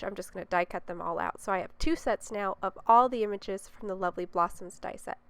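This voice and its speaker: A woman's voice: mid-range voice, clear, resonant tone, no echo. Congested, chatty woman craft tutor. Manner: chatty and upbeat, explaining as she works. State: congested, slightly sick. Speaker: card-making tutorial host. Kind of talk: step-by-step craft voice-over.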